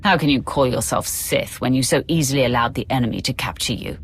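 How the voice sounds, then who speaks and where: A woman's voice: extremely low pitch, fast talker. An actress playing a cool, stern female Sith warrior in a video game, curt and commanding, with music behind. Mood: cold warning. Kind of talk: video game cutscene acting.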